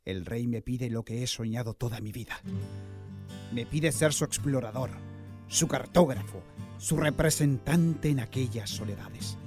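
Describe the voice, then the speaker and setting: A proud and ambitious colonial naval officer played by a male actor. He declaims in a formal, grandiose radio-play manner at a medium pitch, with music behind him. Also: unhurried, natural voice. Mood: brooding ambition. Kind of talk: radio drama acting.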